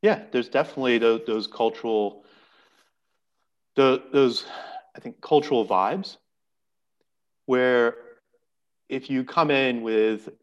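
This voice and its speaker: A man's voice: medium pitch, takes it slowly, long pauses, muffled recording. A man speaking as a podcast guest, explaining earnestly and often restarting his words. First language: English. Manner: earnest, explanatory, repeats words while thinking. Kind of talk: casual podcast conversation.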